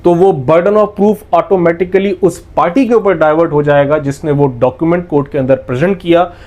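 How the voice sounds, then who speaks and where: Higher-pitched Pakistani man lecturing step by step. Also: moderate pace, darkish tone. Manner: didactic, step-by-step, emphatic restating. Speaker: law lecturer. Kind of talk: explanatory lecture mixing in English legal terms.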